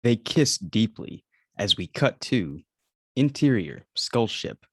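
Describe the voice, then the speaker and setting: Male narrator, slightly low voice, reading screenplay action lines, close dry sound. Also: sing-song, takes it slowly, breathy edge, no echo. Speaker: stage-direction narrator. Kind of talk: scripted narration at a table read.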